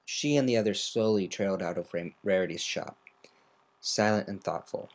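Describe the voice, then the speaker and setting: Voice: deep voice, moderate pace. An amateur narrator giving a semi-dramatic reading of a scripted story, occasionally stumbling over words.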